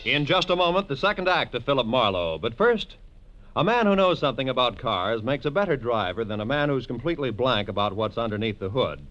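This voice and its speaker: An American man announcing in scripted 1940s radio style, with measured, earnest and emphatic delivery. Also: mid-range voice, very animated, background noise. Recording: muffled old radio broadcast.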